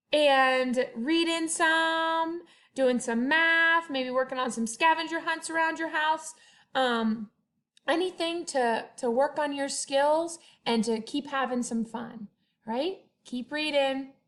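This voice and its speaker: A woman speaking as a first-grade teacher at home, chatting to young children and reading a picture book aloud with expressive, drawn-out storytelling and sung refrains. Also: high voice.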